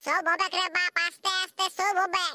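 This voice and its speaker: A man voicing a cheeky lovesick village young man in a comedy cartoon, with a Purulia Bengali accent and a pitched-up voice. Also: flat delivery, moderate pace, sharp tone, dry recording, studio quality, full character voice. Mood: reassuring.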